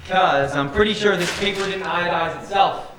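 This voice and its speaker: A man's voice: high-pitched, normal speed, noisy background, lightly acted. A man playing a bickering, sardonic lab doctor in comic stage dialogue, in an echoey theatre with an audience. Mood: peeved, sardonic.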